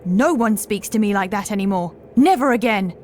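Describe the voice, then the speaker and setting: A woman's voice: medium pitch, expressive, normal speed, slight background noise, close to an everyday voice. A woman playing a deranged housekeeper in a horror audiobook, formal and scolding, with wrath under the politeness. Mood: enraged, anguished. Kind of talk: horror audiobook character acting.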